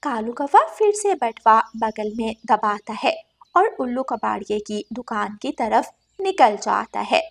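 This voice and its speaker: A woman's voice: a little high, lively intonation, measured pace, vocal fry. A woman narrating a scripted children's moral story.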